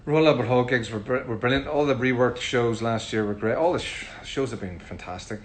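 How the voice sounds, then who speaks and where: A middle-aged man with a Northern Irish accent, casually answering fan questions in a relaxed, chatty way with frequent ums. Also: medium pitch, brisk. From Northern Ireland. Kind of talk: casual livestream Q&A.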